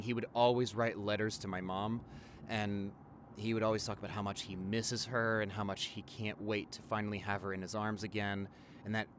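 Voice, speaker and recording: Over faint car noise, a man acts out a loving doctor boyfriend in his forties. He tells his stories in a casual, halting, intimate way, as audio roleplay for a partner. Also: lowish voice, fairly bright tone, close to an everyday voice. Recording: car ride ambience behind the voice.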